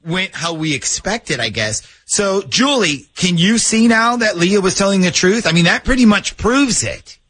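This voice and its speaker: A man's voice: high-pitched, expressive, normal speed, hardly any pauses, a bit bright. A man hosting a radio show, talking casually to people on a call.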